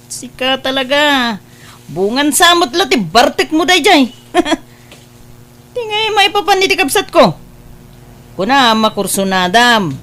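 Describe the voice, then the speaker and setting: A woman's voice: sits high, big pitch swings, takes it slowly, pauses often, sharp tone, full character voice. A woman with an Ilocano accent playing a teasing, hard-drinking elder sister in a radio comedy drama.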